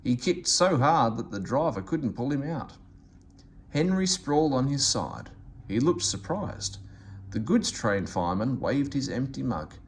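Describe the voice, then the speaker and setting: Animated man reading a children's story. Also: mid-range voice, measured pace, a little hiss or hum. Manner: expressive, animated delivery. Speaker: storybook reader. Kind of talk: read-aloud children's story narration.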